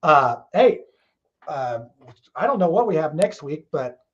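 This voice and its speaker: A man's voice: on the high side, big pitch swings, measured pace, a bit bright, muffled recording. A man hosting a casual talk show, rambling along in a folksy way with frequent chuckles.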